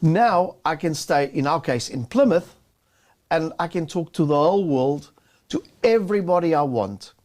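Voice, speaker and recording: A man's voice: on the high side, lively intonation, moderate pace, darkish tone. A man co-hosting a casual business chat, often restarting and repeating words as he talks. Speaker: co-host.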